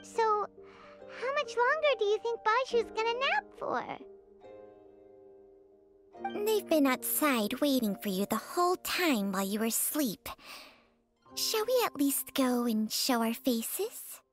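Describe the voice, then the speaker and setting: A woman voicing a small, childlike, blunt and inquisitive fantasy companion in a video game, with an expressive, questioning manner. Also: high voice, a little slow, soft background music. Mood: impatient.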